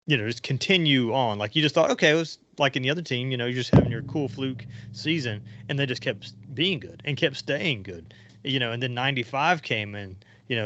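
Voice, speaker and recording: A man's voice: medium pitch, pushed and bright. A middle-aged man hosting a casual sports chat podcast, talking in a rambling way that trails off and rephrases. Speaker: podcast host. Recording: dull lo-fi audio.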